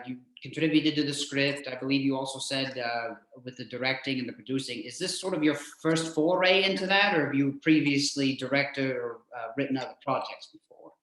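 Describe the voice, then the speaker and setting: A man hosting a casual interview, talking in a halting, rambling way and repeating words as he goes. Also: medium pitch, fairly bright tone. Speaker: show host, interviewer. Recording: echoey room sound.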